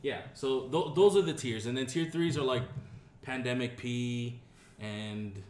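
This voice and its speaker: A man rambles through a loose, joking podcast chat, swearing freely and leaning on fillers. His voice sits at an average pitch and rings in an echoey room, with faint hiss behind it. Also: a little slow. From Dominican family. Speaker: podcast co-host. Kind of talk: casual chat.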